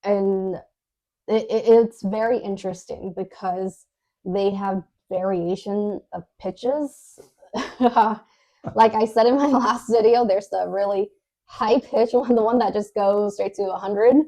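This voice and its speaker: A woman's voice: medium pitch, fairly even intonation, takes it slowly, mellow. A woman co-hosting a podcast, telling her story in a casual, hesitant way, with many fillers, restarts and little laughs. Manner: laughs often while talking. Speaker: podcast co-host.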